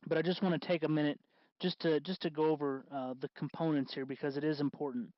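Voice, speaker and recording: A man hosting an informal hands-on electronics tutorial, explaining step by step in a halting, folksy way. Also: fairly high pitch, little pitch movement, dry recording, lo-fi sound. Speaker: tutorial host.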